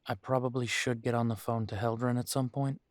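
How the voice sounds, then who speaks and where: A man playing a protective, weary but determined werewolf pack leader in an intimate roleplay audio drama, speaking deliberately with pauses. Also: medium pitch, steady tone, dry recording, clean studio sound, lightly acted. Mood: wary, brooding.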